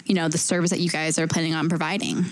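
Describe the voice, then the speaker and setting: A woman's voice: deep voice, little pitch movement, quick pace, dry recording, low-quality recording. A woman co-hosting an interview show in a casual, chatty style. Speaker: co-host.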